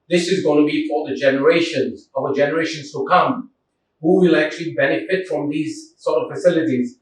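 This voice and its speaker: Man heard from afar in a very echoey room. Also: mid-range voice. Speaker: community volunteer. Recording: very echoey room, distant mic.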